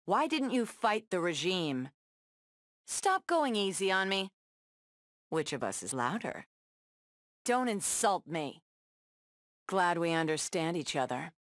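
A woman's voice: on the low side, very animated, slow, long pauses, no room sound, studio quality. A woman playing a tough, sassy superheroine in a video game, trading confident, defiant taunts and wry one-liners. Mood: stern. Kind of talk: video game battle banter.

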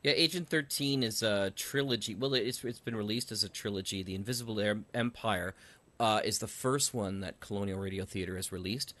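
Bright, forceful man chatting as host. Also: lowish voice, brisk. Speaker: podcast host and audio drama writer. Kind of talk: casual interview chat.

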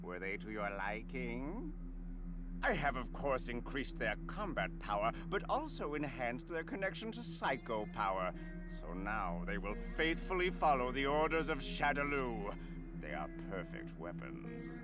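A man's voice: medium pitch, sing-song, a little slow. An actor playing a boastful, touchy evil henchman in a video game, sneering and theatrically mock-polite. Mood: smug. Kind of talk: video game cutscene acting. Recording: loud game music behind.

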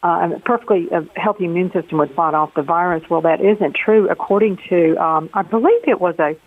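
Brief: female radio caller, gravelly, rambling, tinny phone audio. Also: fairly low pitch, brisk. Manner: rambling, self-correcting.

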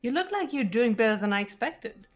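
A woman voicing a serious, guarded teenage girl detective in a visual novel game, cool and measured. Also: a little high, big pitch swings. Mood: cool, composed.

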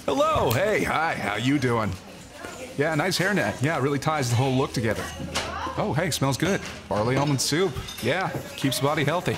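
Brief: man as a cocky young treasure hunter, sarcastic, lively pitch, music under. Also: normal speed, natural voice. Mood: wry. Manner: joking, sarcastic banter. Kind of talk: fan audio drama acting.

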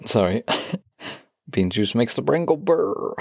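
A man in a close-miked audio roleplay, speaking softly and affectionately with a teasing edge, as a voice actor addressing a loved one. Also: mid-range voice, expressive, very slow, vocal fry, breathy edge, no echo.

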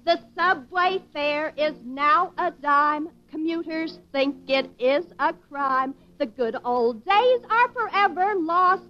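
A woman's voice: high voice, slow pace, hardly any pauses, bright, forceful tone, soft background music, full character voice. A woman playing a naive, chirpy American comic sidekick in guileless, declaimed 1940s radio style. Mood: sing-song recital. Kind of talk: 1940s radio comedy acting. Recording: dull 1940s radio recording.

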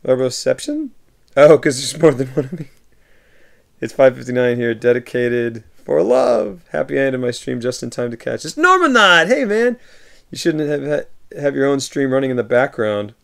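Thirties man, deeply gravelly, easygoing streamer voice answering chat from his home room on a webcam mic. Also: very animated, moderate pace. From United States. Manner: reads viewer messages aloud and replies warmly, often laughing. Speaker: livestreamer reading chat. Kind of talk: casual chat. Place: home room with shelves of films.

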